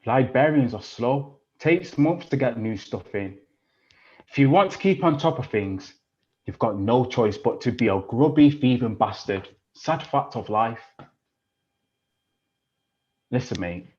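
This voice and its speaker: A man plays a foul-mouthed working-class youth, sneering and quick to threaten. He talks at an ordinary pitch, and the recording is a touch muffled. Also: expressive, a little mellow, close to an everyday voice. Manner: swearing, menacing, mocking. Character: rough working-class British boy, aggressive, crude, defensive. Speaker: actor in a stage play. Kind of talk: audio drama acting.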